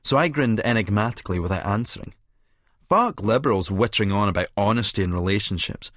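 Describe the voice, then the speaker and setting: An actor with a Scottish accent narrating an audiobook as a cynical, vain Edinburgh publican, smug, sardonic and wordy. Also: male voice, lowish voice, lively intonation, fast talker, a bit bright. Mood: smug, calculating. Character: cynical, vain male Edinburgh publican and schemer, smugly self-satisfied. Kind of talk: first-person audiobook narration in character.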